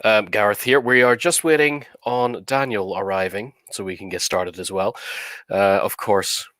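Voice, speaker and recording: A man's voice: on the low side, moderate pace, no room sound. A middle-aged man with a cold, hosting a live stream in casual chat with many fillers. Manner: relaxed, chatty, many fillers. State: has a cold. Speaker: livestream host.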